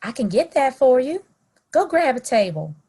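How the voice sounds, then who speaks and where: A woman playing a friendly, bold frontier townswoman in a western audio play, slightly husky and chatty. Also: high-pitched, big pitch swings, moderate pace, warm tone, full character voice. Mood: playful, friendly.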